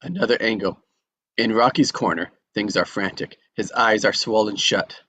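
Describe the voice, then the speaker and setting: Low-pitched man reading stage directions. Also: moderate pace, pushed and bright, no room sound. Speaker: action narrator. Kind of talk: scripted narration at a table read.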